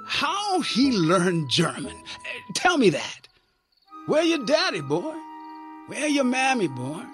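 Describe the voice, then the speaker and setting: Rowdy soldiers tease a frightened boy in a mocking, amused drawl, as a male storyteller voices them. Pitch jumps high and swings wildly. Light music hums beneath. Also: wildly swooping pitch, slow, a bit bright, full character voice. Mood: teasing.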